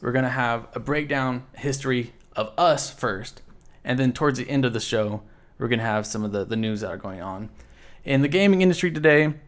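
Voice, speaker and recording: A man in his mid-twenties hosting a laid-back podcast at a medium pitch. His delivery is easygoing and teasing, with frequent restarts and repeated words. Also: brisk. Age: 20s. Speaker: podcast host. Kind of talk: casual podcast chat.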